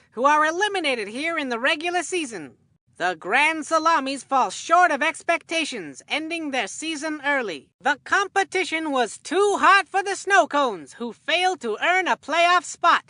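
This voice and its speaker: A woman voicing an excitable, folksy male baseball commentator in a video game, with a hammy announcer delivery. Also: high voice, dry recording, studio quality. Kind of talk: scripted video game sports commentary with puns.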